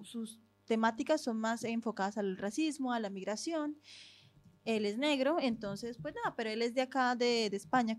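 Woman, podcast co-host, higher voice, halting casual delivery, dry close sound. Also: dry recording. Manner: hesitant, many fillers and restarts. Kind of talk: casual conversation.

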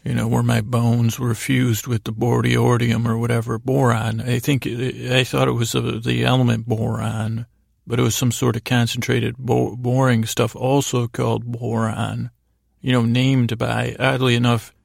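Very gravelly, rambling American man. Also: mid-range voice, completely flat delivery, no echo, clean studio sound. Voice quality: gravelly, creaky voice. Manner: halting, digressive, trailing off mid-thought. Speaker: podcast host. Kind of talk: rambling, meandering bedtime storytelling monologue.

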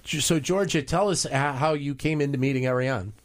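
Halting male interview host. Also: mid-range voice. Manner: halting, frequent restarts. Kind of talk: interviewing guests.

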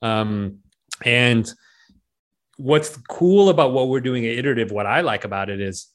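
A man's voice: mid-range voice, moderate pace. A man in his late thirties from Penang, a guest investor talking casually and loosely, with lots of likes and rights. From Penang, Malaysia. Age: 30s. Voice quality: relaxed, filler-heavy talk with many likes and rights. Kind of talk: casual interview chat.

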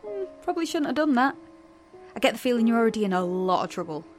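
A woman's voice: fairly high pitch, big pitch swings, quick pace, soft background music. A woman playing a sardonic, defiant teenage heroine in naturalistic audio drama dialogue. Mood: wry, defiant.

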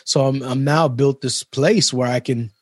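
Man from Trinidad, husky voice, dry close sound, laid-back rambling chat. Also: mid-range voice, fairly even intonation, unhurried, no echo. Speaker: musician and chef guest. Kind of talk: casual interview chat.

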